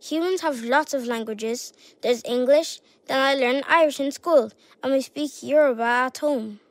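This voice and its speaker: A woman's voice: sits high, measured pace, no echo. A woman playing a friendly, curious, chatty young child in a children's audio drama, polite and matter-of-fact. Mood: chatty, explaining.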